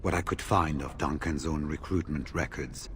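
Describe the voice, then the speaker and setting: An actor voicing a seasoned, courteous senior warrior in a fantasy video game, speaking in a formal, measured way. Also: male voice, a bass voice. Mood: grave, measured.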